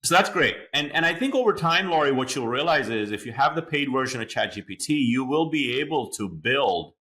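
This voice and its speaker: A man's voice: medium pitch, expressive, fairly quick, fairly bright tone, a little hiss or hum. A man hosting an interview podcast, explaining things at length in an enthusiastic, chatty way with many fillers. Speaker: podcast host. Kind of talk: conversational interview.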